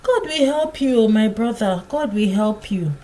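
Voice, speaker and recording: A woman storyteller voicing a kind, gentle young market woman who coaxes and encourages in a folktale. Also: a little high, animated, unhurried. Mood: kindly, encouraging. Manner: warm greetings, coaxing encouragement.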